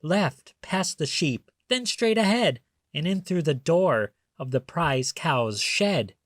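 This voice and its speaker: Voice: medium pitch, lively intonation, slow pace, dry recording, clean studio sound, pitched above the performer's own voice. A storybook reader voicing a tiny, clever ladybird who earnestly lays out a plan, in a children's read-aloud style. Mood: earnest, instructive.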